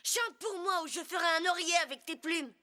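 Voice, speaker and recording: A woman voicing a spoiled, demanding young boy prince in an animated film, with music behind. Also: extremely high pitch, fairly quick, in a large hall, heavily acted. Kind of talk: animated film dub in French. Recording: loud musical score behind.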